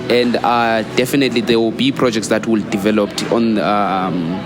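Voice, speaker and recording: A South African man with a lower voice speaks in a loose, rambling way, often stopping to add "you know" and restart his sentences. Music plays clearly underneath him. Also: fairly even intonation, moderate pace. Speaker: guest, student volunteer. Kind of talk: casual interview talk.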